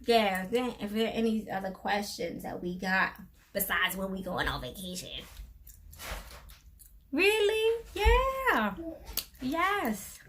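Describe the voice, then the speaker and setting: A woman's voice: medium pitch, big pitch swings, takes it slowly, pauses often, fairly bright tone, slight background noise. A middle-aged woman co-hosting a casual live stream, chatty and laughing often as she tells stories.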